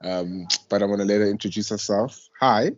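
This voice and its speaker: A man's voice: on the low side, brisk, no room sound. South African man hosting, muffled call sound. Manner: informal, slangy, effusive reactions. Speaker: radio show host. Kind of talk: casual chat interview. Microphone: internet voice call.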